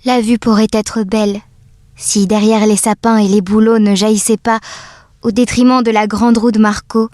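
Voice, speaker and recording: An actress playing a dreamy, wistful doll who tells her own story in measured, poetic narration for an audio drama. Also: mid-range voice, level tone, a little mellow, no echo, clean studio sound, lightly acted. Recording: music under the voice. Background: music.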